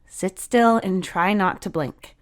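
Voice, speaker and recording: A woman's voice: medium pitch, slow, lightly acted. Woman as a mischievous older sister, girlish, encouraging, casual, playful, storybook character. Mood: breezy, playful.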